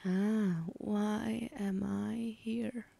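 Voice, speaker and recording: A woman's voice: mid-range voice, flat delivery, drawn-out words, vocal fry, warm tone, full character voice. A woman playing a teasing, menacing female villain in an ASMR roleplay, whispering breathily with a taunting, condescending manner. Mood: teasing, smug.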